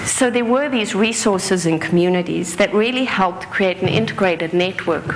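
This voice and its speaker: Woman, lowish voice, earnest live talk with small self-corrections, some background noise. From South Africa. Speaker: speaker. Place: stage in an auditorium.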